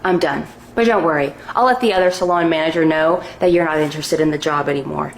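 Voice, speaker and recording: A woman's voice: deep voice, fast, a little hiss or hum, pitched below the performer's own voice, low-quality recording. A woman voicing a hurt female salon coworker who speaks in a cold, cutting way.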